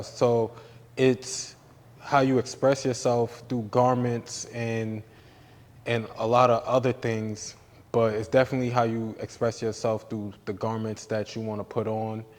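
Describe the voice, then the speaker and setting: A relaxed man from New York chats as an interview guest, in a fairly low voice. His easygoing, slangy talk trails a long reverb from the room. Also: measured pace. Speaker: guest, entrepreneur. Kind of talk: casual interview chat.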